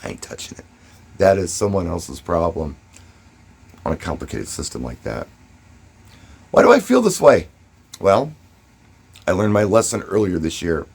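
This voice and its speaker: A man with a fairly low, noticeably husky voice talks in a casual vlog style. He shares advice and anecdotes conversationally, leaning on key words and pausing briefly for effect. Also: sing-song. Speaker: DJ and vlogger. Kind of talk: casual first-person advice and storytelling to camera.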